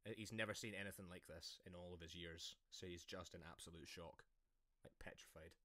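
A man's voice: deep voice, fairly even intonation, fairly quick, bright, forceful tone, no room sound. A Scottish man chatting casually and jokingly as a player in an online tabletop game, often laughing at himself. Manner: laughs often, self-deprecating.

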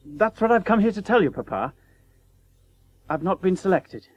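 An actor playing a subdued, nervous young student son in a radio play. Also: male voice, high-pitched, sing-song, normal speed. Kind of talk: 1980s radio drama acting.

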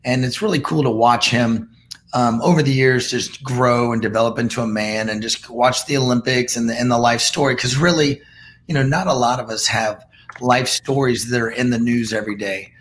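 A man in his fifties, a swim coach, hoarse this week, talking haltingly on a casual video call. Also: medium pitch, steady tone, fairly bright tone, a little hiss or hum. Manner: halting, stumbles over words. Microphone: video call webcam.

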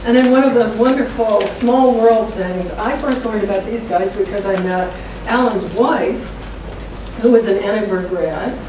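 A woman's voice: mid-range voice, a little slow. A middle-aged woman introducing guests at a forum with chatty asides, heard from across a conference room. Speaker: school director and forum host. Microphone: far room camera mic. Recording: echoey room from far off, dull lo-fi, noisy.

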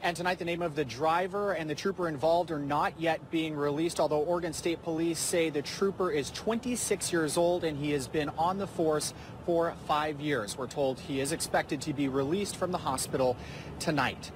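A man's voice: fairly high pitch, slight background noise. Man reporting live from the field. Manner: measured, scripted delivery. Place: outdoors on location.

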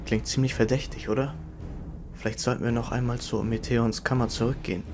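A man's voice: medium pitch, level tone, a little fast, a little mellow, soft background music, lightly acted. A man playing a curious, skeptical young adventurer in a fantasy audio drama, with a questioning manner. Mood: suspicious.

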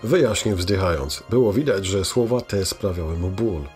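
A man with a fairly low voice narrates a scripted fantasy tale. Faint music runs behind his storytelling. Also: moderate pace, a little mellow.

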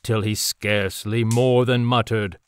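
Deep, slow, solemn man as gothic storyteller. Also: fairly even intonation, smooth, no echo, natural voice. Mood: solemn, brooding. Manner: solemn, theatrical, measured. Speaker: narrator. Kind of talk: dramatic narration of gothic poetry in an audio drama.

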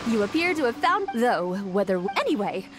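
A woman playing a composed, playful overseer in a video game, measured and teasing. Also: a little high, sing-song, over music. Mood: amused. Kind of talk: video game character acting.

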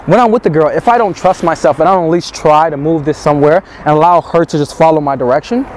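A young man who coaches dating, talking in a low, measured way, sometimes slowing right down. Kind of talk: street pickup talk and to-camera advice. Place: busy city square outdoors. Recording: street noise and traffic behind.

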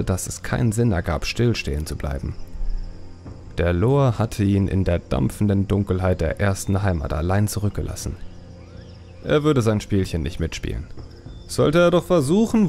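Male audiobook narrator, very low voice, gravelly fry, dramatic fantasy storytelling, soft music underneath. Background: faint music.

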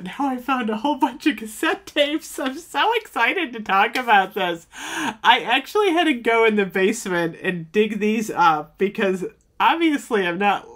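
A woman's voice: mid-range voice, fairly bright tone. A woman in her fifties chatting to camera at home, nostalgic and talkative, often exclaiming and filling with "um". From United States. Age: 50s. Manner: chatty and nostalgic, many "oh my gosh" and "um". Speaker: vlogger. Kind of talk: casual chat to camera. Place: small home room with shelves.

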